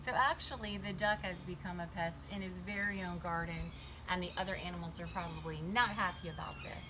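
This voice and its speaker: A woman's voice: lowish voice, brisk, background noise. A woman reading a picture book to young children, chatting directly to them with questions and simple explanations. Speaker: children's read-aloud storyteller.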